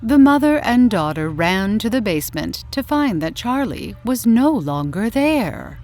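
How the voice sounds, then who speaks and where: A woman narrating in a dramatic, theatrical old-time radio horror style with deliberate delivery. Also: a little high, sing-song, darkish tone. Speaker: story narrator and channel host. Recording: roomy echo, faint music behind.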